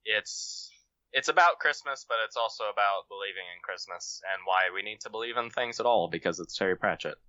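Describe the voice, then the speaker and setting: A man's voice: on the low side, fairly quick, pushed and bright, dry recording, lo-fi sound. A man chatting casually as a guest author on a podcast, giving recommendations.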